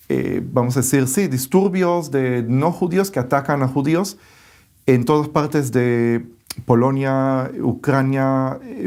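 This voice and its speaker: Man, explaining at a steady pace. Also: medium pitch. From Israel. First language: Hebrew. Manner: unhurried, pauses often with filler sounds while searching for words. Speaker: guest, tour guide and Bible teacher. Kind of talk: explanatory interview talk.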